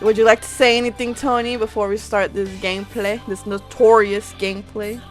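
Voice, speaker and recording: A young woman doing casual, slangy gameplay commentary, reacting excitably and swearing freely. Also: mid-range voice, fairly quick. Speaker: gaming video host. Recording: loud game music behind voice. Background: loud game audio and music.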